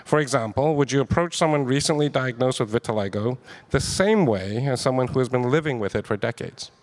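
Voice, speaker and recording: A man's voice: mid-range voice, brisk. A man moderating a panel, asking questions hesitantly with many fillers and restarts. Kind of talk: panel discussion.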